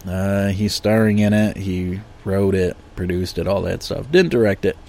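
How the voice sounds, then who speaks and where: Sniffly low-voiced man ranting casually. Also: moderate pace, creaky, mellow. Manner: profane, blunt, lots of ums and uhs. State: sniffly and nasal from allergies. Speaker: podcast host reviewing movies. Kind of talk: casual rambling review.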